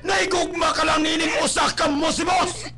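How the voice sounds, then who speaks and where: A man's voice: very high voice, level tone, moderate pace, vocal fry. A man with a Filipino accent acting a domineering, snobbish rich father in a melodramatic radio drama, scornful and given to bellowing. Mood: contemptuous. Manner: theatrical, scornful, bellowing outbursts. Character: domineering, snobbish, wealthy father raging at his daughter. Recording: live stream with loud music bed. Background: loud dramatic music.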